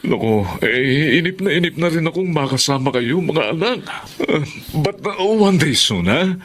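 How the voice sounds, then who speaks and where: A man's voice: a little high, lively intonation, normal speed, fairly bright tone, slight background noise. An actor playing a devoted, hardworking middle-aged husband and father in an emotional radio drama, with a Filipino accent. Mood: longing, proud.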